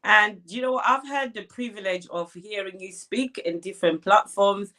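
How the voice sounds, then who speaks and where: A woman co-hosting a live online show on a video call. She sounds cheerful and chatty, at a medium pitch, and warmly welcomes viewers. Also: fairly even intonation, normal speed. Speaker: co-host.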